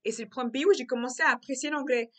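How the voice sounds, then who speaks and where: A young woman talks through her thoughts in a relaxed vlog monologue. Her voice sits a little high and sounds bright and clear. She keeps restarting and repeating phrases as she thinks aloud. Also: very fast. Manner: repetitive, circling back on her words. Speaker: vlogger. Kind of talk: casual reflective monologue.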